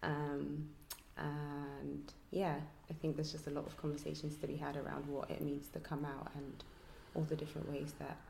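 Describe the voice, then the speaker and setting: A hairstylist and artist from Nigeria, speaking quietly and reflectively in a casual interview, halting with ums. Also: female voice, sits low, completely flat delivery, gravelly. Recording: echoey room with faint noise.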